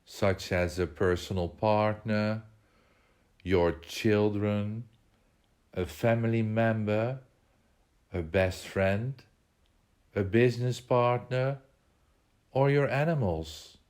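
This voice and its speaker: A man leading a guided meditation, giving measured instructions in short phrases with pauses between them. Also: on the low side, steady tone, a little mellow, smooth.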